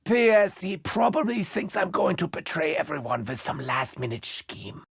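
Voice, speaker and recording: A man's voice: high-pitched, sing-song, normal speed, vocal fry, husky, no echo, studio quality, exaggerated voice. An actor playing a manic, unhinged German male doctor in a video game, with a German accent and a theatrical, mock-wounded manner. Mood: haughty.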